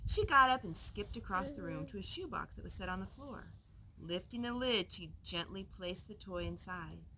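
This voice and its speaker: A woman's voice: medium pitch, expressive, moderate pace, a crowd in the background. A woman reading a picture book aloud expressively to a young child in a noisy recording with clicks.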